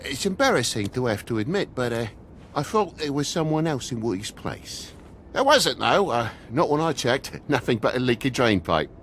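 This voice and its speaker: A man's voice: medium pitch, big pitch swings, normal speed, fairly bright tone, slight background noise. A man with a British accent voicing a grumpy, evasive toad father in a video game, rambling and slangy in a defensive way. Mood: nervous, sarcastic. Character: grumpy, evasive, chatty toad father, a working-class fable.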